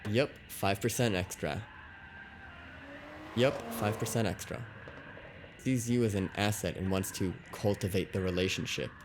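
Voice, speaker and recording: A man's voice: low voice, background noise, natural voice. A man playing a blunt, wry, grumbling companion in a cyberpunk noir audio drama.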